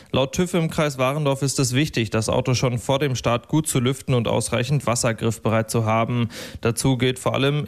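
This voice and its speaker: A man reading scripted news bulletins as a radio newsreader in a studio. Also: medium pitch.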